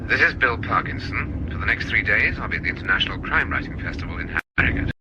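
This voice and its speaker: Low-voiced businesslike male novelist, very noisy. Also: expressive, quick pace. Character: male crime novelist leaving an answering-machine greeting, businesslike. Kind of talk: recorded voicemail greeting. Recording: very noisy recorded phone greeting.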